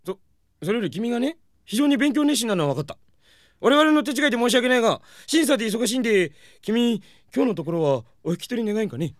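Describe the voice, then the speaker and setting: A man's voice: very high-pitched, big pitch swings, fast, no room sound, clean studio sound. A man playing an exasperated, chiding older man in a comedic audio drama. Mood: flustered, dismissive.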